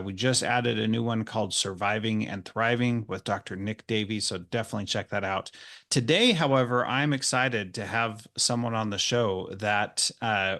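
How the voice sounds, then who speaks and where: A man with a fairly low voice hosts an interview podcast. He talks in a relaxed, chatty way, with frequent fillers and restarts, and keeps the tone friendly. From United States. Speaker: podcast host. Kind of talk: casual interview chat.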